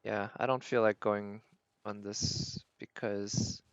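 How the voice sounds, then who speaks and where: A man's voice: fairly low pitch, little pitch movement, slow pace, clean tone, dry recording, lo-fi sound. A man doing casual live gameplay commentary as a streamer, thinking aloud as he narrates his own decisions. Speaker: game streamer.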